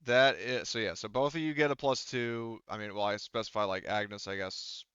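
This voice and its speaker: A man's voice: lowish voice, moderate pace, fairly bright tone, clear, resonant tone, no room sound. A man acting as game master in casual tabletop play, informally thinking aloud as he works through rules on a slightly dull, dry microphone.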